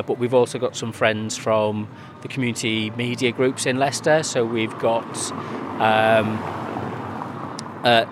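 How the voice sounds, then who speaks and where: A man's voice: mid-range voice, noisy background. A man talks about community media at an outdoor event. He is chatty and hesitant, with many fillers and restarts. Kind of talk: casual interview answers.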